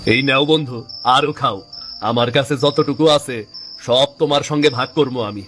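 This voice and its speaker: A man's voice: mid-range voice, moderate pace, fairly bright tone, faint music behind, natural voice. A man playing a poor, kind-hearted village cook in a cartoon, with an earnest, humble manner and a rural Bangladeshi accent. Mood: grateful, friendly. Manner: earnest, humble, homely dialect. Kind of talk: cartoon story dialogue.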